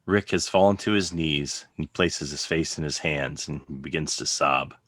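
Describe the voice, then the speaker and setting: A man's voice: a bass voice, normal speed, fairly bright tone, no room sound, studio quality. A man narrating scripted stage directions as the narrator of a drama reading.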